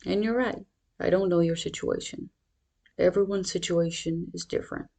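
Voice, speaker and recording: A meditation guide speaking in a measured, reassuring, instructional manner. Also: medium pitch, fairly even intonation, creaky, darkish tone.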